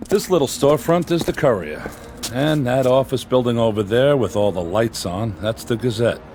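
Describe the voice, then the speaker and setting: An actor playing a weary, skeptical small-town newspaper editor in a radio drama, dry and plain-spoken. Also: male voice, medium pitch, expressive, moderate pace, a little mellow, faint music behind, close to an everyday voice.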